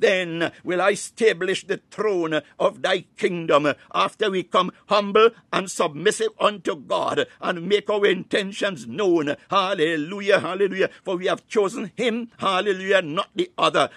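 A man's voice: high-pitched, a bit bright, no echo. A man delivering a fervent Pentecostal sermon, expounding scripture in short phrases punctuated by exclamations of praise. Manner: breaks into speaking in tongues. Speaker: preacher.

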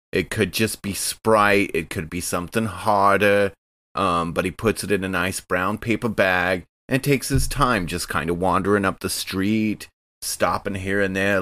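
A man's voice: low voice, fairly bright tone, no room sound. A man playing a tabletop roleplaying game, casually and playfully describing what his character does. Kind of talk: casual improvised game narration.

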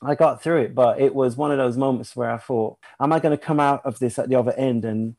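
A middle-aged British man tells stories in a casual, conversational style. His delivery is animated, as befits a singer used to performing. The sound is dry, with almost no room on it. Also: mid-range voice, a little fast, no room sound. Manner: animated, acts out sounds and remembered dialogue. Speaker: singer, interview guest.

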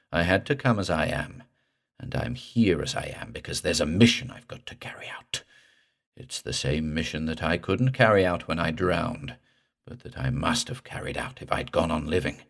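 A man voicing an undead drowned man in a horror story, with a slow, creepy, guttural, gurgling drawl and a vengeful temper. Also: a bass voice, gravelly.

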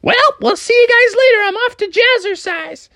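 A man's voice: extremely high pitch, moderate pace, sharp tone, no room sound, voice pushed up, heavily acted. A man voicing a perky, self-assured frog mom in a storybook tale. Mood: breezy. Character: perky frog mom, proud exercise enthusiast.

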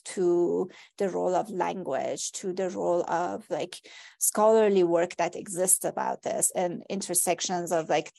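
A woman whose first language is Persian talks about her work as a guest author. Her voice sits low and carries a gravelly fry. She keeps checking in with "right?" and fills her pauses with "like" as she reasons through ideas. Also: dark tone. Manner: reflective, frequent "like" and "right?" fillers. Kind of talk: academic conversation.

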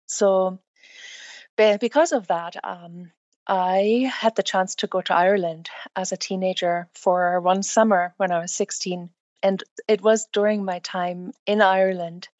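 A woman's voice: medium pitch, darkish tone, no room sound, highs cut off. A woman from southern Germany with a German accent, a guest author speaking English in a friendly, hesitant way in an interview.

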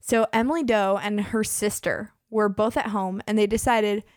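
A woman's voice: medium pitch, steady tone, normal speed, no room sound, clean studio sound. A woman in her twenties hosting a podcast in casual chat, chatty and full of "like", her voice a bit worn as she is losing it. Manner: chatty, peppers speech with "like". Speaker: podcast host.

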